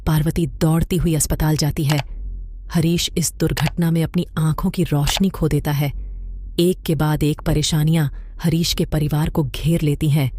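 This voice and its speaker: A woman narrating a cartoon moral story in a clear, steady storytelling voice. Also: sits low, normal speed.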